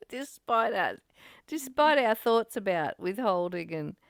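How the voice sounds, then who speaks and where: Woman, spiritual study host, creaky higher voice, earnest, reflective questions, close dry sound. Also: very animated, takes it slowly, no room sound. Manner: earnest, uses rhetorical questions. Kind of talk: informal spiritual teaching and discussion.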